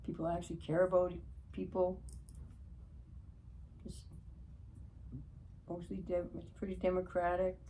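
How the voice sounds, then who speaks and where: Low, warm-voiced Canadian woman. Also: monotone, unhurried, pauses often, a little hiss or hum. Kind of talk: casual political chat.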